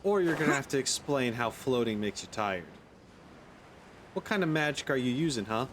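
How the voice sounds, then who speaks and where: A man's voice: mid-range voice, lively intonation, moderate pace, a bit bright, slight background noise. A man doing casual let's play commentary as a gaming streamer, with a sceptical, sarcastic and blunt manner.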